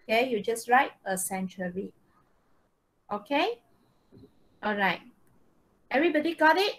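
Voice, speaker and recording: A woman's voice: on the high side, sing-song, measured pace. A woman teaching a live online English class, often checking understanding with short questions. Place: online video-call lesson.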